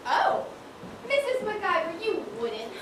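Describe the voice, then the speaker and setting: A woman on stage playing an eager, polite and excitable young shop assistant. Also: extremely high pitch, slow, exaggerated voice. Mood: delighted. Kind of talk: amateur stage acting, one-act school play. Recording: echoey stage, distant mic, clear noise.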